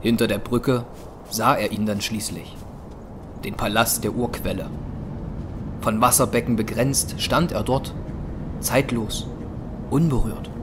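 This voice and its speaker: A man narrating a scripted dramatic story for an audio drama in short, deliberate, measured phrases. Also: lowish voice, steady tone, noisy background. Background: music.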